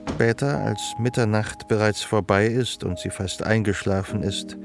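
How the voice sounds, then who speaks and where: A man's voice: lowish voice, steady tone, normal speed, vocal fry, music in the background. A man delivering scripted narration as the narrator of a radio drama.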